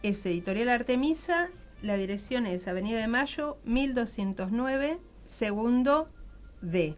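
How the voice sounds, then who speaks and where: A woman's voice: fairly high pitch, lively intonation, measured pace. A middle-aged woman with an Argentine Spanish accent, speaking casually as a guest in a radio interview. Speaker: guest, magazine editor and author.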